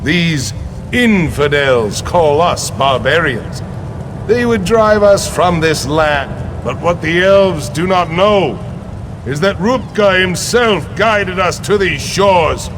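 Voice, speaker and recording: A man's voice: a little high, expressive, slow, background noise. An actor playing a proud warrior king in a video game, rallying an army in a declamatory way. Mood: rousing, defiant. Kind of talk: video game character oratory.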